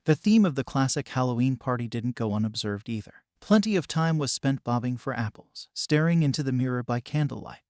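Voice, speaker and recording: Calm man narrating a scary story. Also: mid-range voice, no room sound, clean studio sound. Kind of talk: scripted narration.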